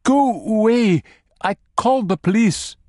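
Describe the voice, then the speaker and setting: A man voices a panicked husband, pitched well above his narrating voice and gasping out broken words, breathless with pain. The sound is dry and close to the mic. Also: sing-song, moderate pace, a little mellow, no room sound. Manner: short gasped phrases.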